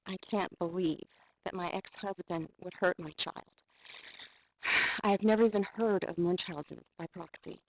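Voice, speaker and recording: A woman's voice: fairly low pitch, normal speed, highs cut off, a little hiss or hum, lightly acted. A woman playing a distraught, exasperated mother of a sick child in a video game. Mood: stunned. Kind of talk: FMV game acting.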